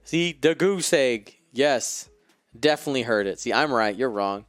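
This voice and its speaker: A man's voice: mid-range voice, animated, normal speed, no room sound. A man co-hosting a live stream of a tabletop miniatures game, commentating in a chatty way and bantering playfully with viewers. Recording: close dry mic with faint music.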